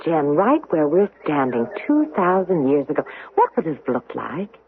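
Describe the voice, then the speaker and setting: An actress playing a brisk, supportive woman friend, urging and expressive, in an old-time radio drama. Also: low voice. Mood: curious, playful. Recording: muffled, band-limited 1970s radio broadcast.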